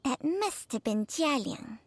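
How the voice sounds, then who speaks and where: A woman voicing a small, wry, knowing snake companion in a video game, speaking in a measured, deliberate way. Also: sits high, big pitch swings, noisy background, heavily acted. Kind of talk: English video game voice acting.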